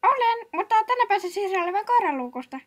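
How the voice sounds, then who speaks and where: A woman voicing a frazzled, hot-tempered young guardian in a comedy cartoon dub, excitable and blustering. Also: extremely high pitch. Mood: flustered, evasive. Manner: excitable, blustering, foul-mouthed.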